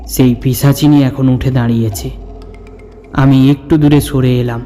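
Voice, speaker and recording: A man playing an older storyteller who recounts his own ghostly encounter in a suspenseful, measured narration. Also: medium pitch, warm tone, close to an everyday voice. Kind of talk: dramatic scripted horror story narration. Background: background music.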